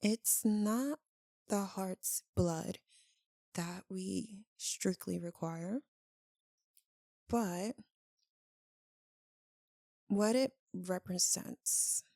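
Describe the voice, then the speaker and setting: A melancholy female vampire lover, played by a woman for an ASMR roleplay, speaks extremely slowly in a creaky voice. She is tender and tormented, hesitating with long, heavy pauses. The sound is close and very dry, as if confided to one listener. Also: mid-range voice, dark tone, no room sound, heavily acted. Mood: solemn, explaining. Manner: hesitant, halting, earnest, with long dramatic pauses. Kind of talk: slow, intimate ASMR roleplay.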